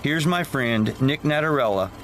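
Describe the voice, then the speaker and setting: A man's voice: medium pitch, lively intonation, normal speed, fairly bright tone, a little hiss or hum. A man in his sixties, a longtime builder, hosting and introducing his podcast in an earnest, direct way. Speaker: podcast host. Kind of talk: scripted show intro.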